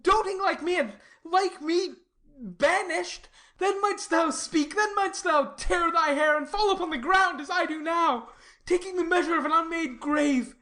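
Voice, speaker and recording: A woman's voice: very high voice, a little slow, a bit bright. A woman playing a lovesick, desperate banished youth in a Shakespearean tragedy, with an anguished theatrical delivery. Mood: defiant despair. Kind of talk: classical stage tragedy in audio.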